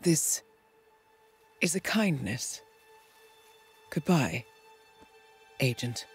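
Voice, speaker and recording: A woman playing a cool, calculating intelligence handler in a video game, speaking in measured, formal phrases. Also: low-pitched, lively intonation, husky, exaggerated voice. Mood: regretful, grave. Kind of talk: dramatic video game cutscene acting. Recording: long hall reverb under loud music.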